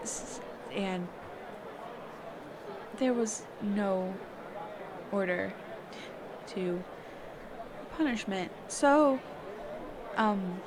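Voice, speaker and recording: A woman playing a sheltered, curious mage teacher in a conversational roleplay audio drama, halting and often correcting herself. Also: medium pitch, noisy background. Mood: grieving, halting. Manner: halting, hesitant, trailing off and self-correcting.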